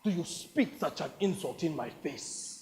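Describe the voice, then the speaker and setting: A man voicing a pompous African warlord general who makes slow, booming, grandiose declarations. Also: animated, a little mellow, long reverb tail. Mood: outraged.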